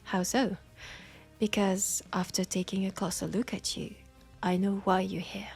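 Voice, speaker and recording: Casting: actress as teasing French-accented witch for intimate fantasy roleplay audio. Husky, gravelly edge, very slow delivery with dramatic pauses. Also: medium pitch, flat delivery, a little mellow, faint music behind, exaggerated voice. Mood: teasing, curious. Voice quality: husky, breathy with creak. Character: knowing, teasing, compassionate witch in a secluded werewolf town.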